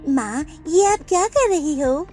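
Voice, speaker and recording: A woman voicing a small male bird chick in a cartoon, with a high, childlike, eager way of talking. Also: animated, takes it slowly, darkish tone, faint music behind. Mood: curious.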